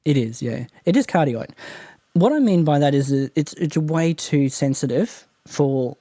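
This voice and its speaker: A man's voice: a little high, lively intonation, measured pace, warm tone, dry recording, studio quality. A man with an Australian accent and a nasal voice, chatting casually as a guest about podcast gear. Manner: chatty, rambling, laughs often. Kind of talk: casual technical chat. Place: home room treated with mattresses. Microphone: condenser studio mic.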